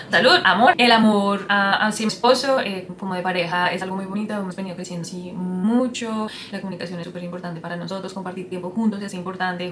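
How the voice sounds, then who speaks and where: A woman's voice: on the low side, sharp tone, slight background noise. A woman, chatting casually to her viewers as a vlogger and drifting into English now and then. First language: Spanish. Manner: chatty, rambling, switches into English mid-sentence. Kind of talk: casual talk to camera.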